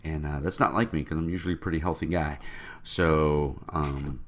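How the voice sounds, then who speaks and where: A man with a deep, froggy voice from a cold, rambling casually to his viewers about tabletop games. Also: steady tone, normal speed. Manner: rambling, with many fillers. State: congested from a cold. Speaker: tabletop game vlogger. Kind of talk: casual rambling talk to camera.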